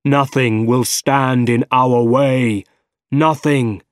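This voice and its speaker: Brooding, obsessive scientist villain , played by a male reader: very slow, heavy, dramatic storybook delivery. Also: medium pitch, steady tone, no room sound, studio quality, natural voice. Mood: grim, obsessed.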